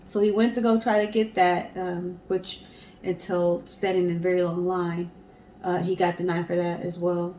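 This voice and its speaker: A woman's voice: on the low side, flat delivery, normal speed, a little mellow, slight background noise. A woman co-hosting a casual storytelling show in a conversational, explanatory way. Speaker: co-host.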